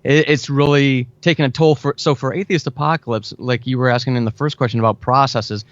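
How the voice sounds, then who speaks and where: A man's voice: mid-range voice, fairly even intonation, fairly quick, no room sound. Enthusiastic American man, dry muffled recording. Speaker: podcast guest, audio drama writer. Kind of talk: conversational interview.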